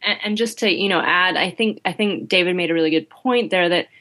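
A woman's voice: lowish voice, fairly quick, pushed and bright. A woman guest author in a podcast chat who repeats her words and keeps checking in with "right?" and "you know". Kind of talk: podcast conversation.

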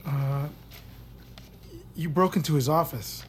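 An actress playing an uneasy, guarded doctor in an audio drama, hesitant yet probing. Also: female voice, sits low, takes it slowly, slight background noise. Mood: unsettled, doubtful. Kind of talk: naturalistic audio drama acting.

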